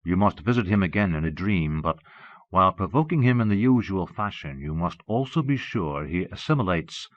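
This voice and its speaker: A man's voice: sits low, lively intonation, normal speed, no room sound. A man voicing a scheming, possessive disembodied evil mastermind in an audiobook, commanding, grandiose and darkly doting. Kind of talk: audiobook character voice. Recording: muffled old cassette audiobook.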